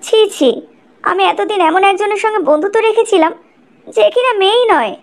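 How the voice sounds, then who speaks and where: Cartoon college mean girl, scornful and threatening, very high, animated pitch played by a woman. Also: fairly quick. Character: scornful, threatening young female college friend. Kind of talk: cartoon dialogue.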